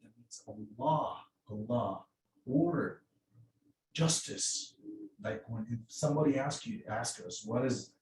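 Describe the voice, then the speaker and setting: A middle-aged man from Egypt speaking on a panel in English, with many halting restarts and fillers. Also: medium pitch, a little mellow. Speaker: mosque outreach volunteer. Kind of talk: panel discussion. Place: event room. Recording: roomy distant sound with faint noise.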